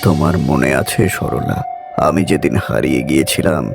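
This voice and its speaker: A man voicing a weary, haunted village father in a cartoon ghost story, speaking in an urgent, dramatic, halting way. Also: extremely low pitch, creaky, full character voice. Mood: haunted, reminiscing. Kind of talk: Bengali animated ghost story voice acting. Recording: music behind the voice.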